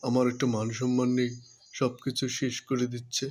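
A man's voice: medium pitch, completely flat delivery, a little fast, a little mellow, lightly acted. A man voicing a proud, exasperated elder fox brother in a cartoon, scolding and lamenting. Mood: distressed, indignant. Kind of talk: cartoon voice acting.

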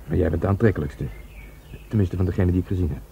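Botte agent, heel diep en donker. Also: male voice, low-pitched, fast, vocal fry, mellow, highs cut off, a little hiss or hum. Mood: blunt, amorous. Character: blunt, brooding, amorous Dutch policeman. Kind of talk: Dutch radio drama acting.

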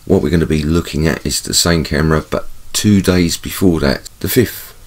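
A man's voice: rumbling low, normal speed. A man with a stuffy, bunged-up voice hosts his own channel, talking chattily over camera footage and repeating words for emphasis. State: congested, bunged up. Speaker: channel host. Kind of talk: casual video commentary over camera footage.

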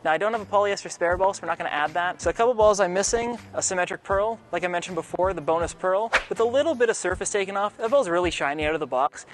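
A young man with a high, light voice talks fast and methodically. He walks through his gear step by step on a lapel mic inside an indoor bowling alley. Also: slight background noise. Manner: quick, methodical, explanatory. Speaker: pro shop bowler presenting his arsenal. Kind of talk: scripted equipment walkthrough.

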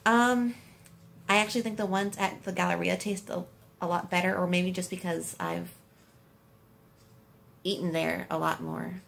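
A woman's voice: fairly low pitch, steady tone, moderate pace, vocal fry, pauses often, fairly bright tone. A woman of twenty-nine, chatting bubbly and giggly to viewers on a phone camera in a hospital room. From United States. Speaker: vlogger. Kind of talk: casual couple vlog chat. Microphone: phone camera at arm's length.

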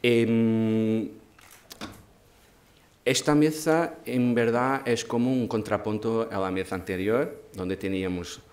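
A man in his forties with a Portuguese accent, a non-native speaker, moderating a panel in a thoughtful way, pausing and restarting phrases. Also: on the low side, a little mellow. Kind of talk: panel discussion talk. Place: large dark auditorium stage. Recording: echoey hall with faint noise.

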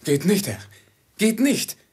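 An actor playing a loyal, anxious manservant in a 1950s radio play, with a husky voice and deferential, urgent manner. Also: male voice, sits high, big pitch swings, slow pace, darkish tone, exaggerated voice. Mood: pleading. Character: loyal, anxious male servant of a caravan merchant.

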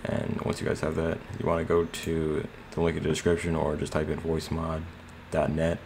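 Very deep, flat-voiced man, gravelly. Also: normal speed. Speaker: tutorial host. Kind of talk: casual screen tutorial narration. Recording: clear background noise.

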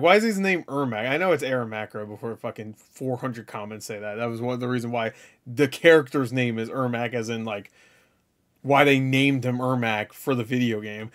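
A man's voice: mid-range voice, a bit bright. A man giving casual, off-the-cuff reaction commentary as a video host, slangy and jokey, swearing freely.

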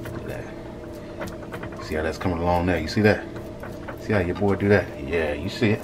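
A man in his thirties hosting a casual cooking vlog in a small home kitchen, talking to viewers in a relaxed, slangy way. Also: low voice, moderate pace. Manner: laid-back, slangy, talks to viewers as "y'all". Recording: loud hum behind voice.

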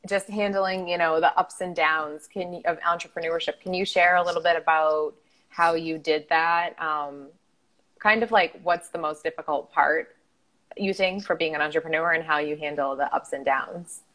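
A young American woman hosts a casual interview, her voice sitting low. She talks over a video call from a room at home, asking questions with easy fillers and a friendly tone. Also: fairly even intonation, moderate pace, a bit bright. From United States. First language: English. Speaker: host.

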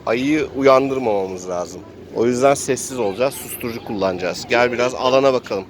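A man with a mid-pitched voice acts as game master and player, improvising a role-play adventure. He tells each move as though he is inside the scene. Light outdoor noise with vehicles sits behind him. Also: a little fast. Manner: narrates game action as if living it.

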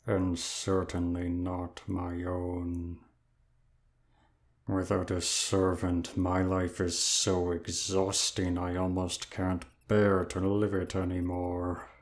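Exhausted demon, audio drama, very low, monotone, self-pitying, lazy drawl. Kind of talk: audio roleplay drama acting.

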